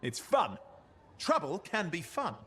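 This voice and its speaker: An actor playing a playful, cheeky young freelance partner in a video game, with a jokey, teasing manner. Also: male voice, fairly high pitch, huge pitch swings, measured pace, bright, forceful tone, a little hiss or hum, full character voice. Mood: amused, cheeky.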